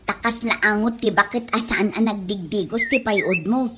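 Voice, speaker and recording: A woman's voice: mid-range voice, fairly even intonation, moderate pace, a bit bright, slight background noise, close to an everyday voice. A woman playing a pushy, blunt peddler in a comedy radio drama, speaking with an Ilocano accent in a coaxing, teasing way. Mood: bluntly teasing. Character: pushy, blunt female peddler of perfume and lotion.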